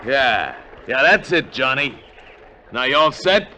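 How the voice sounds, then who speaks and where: A man's voice: mid-range voice, sing-song, slow pace, bright, forceful tone, exaggerated voice. An American man playing a tough, terse, commanding and bitter armed adventurer in 1950s radio drama style. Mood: grimly resolved. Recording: noisy vintage 1950 radio recording.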